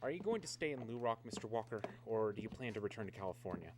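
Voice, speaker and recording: A man plays a businesslike security officer in a radio play. He talks quickly in a lowish voice, clipped and official, over a faint hum. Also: lively intonation, close to an everyday voice. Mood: matter-of-fact. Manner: clipped, matter-of-fact, official. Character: businesslike male security officer at atomic research camp. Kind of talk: radio drama acting.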